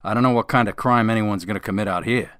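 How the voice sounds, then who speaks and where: An actor playing a skeptical, grumbling police officer in a radio drama, sardonic and matter-of-fact. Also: male voice, fairly low pitch, fast talker, a bit bright, clean tone, no room sound, studio quality, close to an everyday voice. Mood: sardonic, impatient. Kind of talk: modern radio drama acting.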